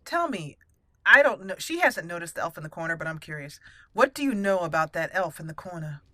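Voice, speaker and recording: Female gaming host: crisp bright tone, dry close sound, roleplay commentary. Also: on the low side, very animated, fairly quick, no echo.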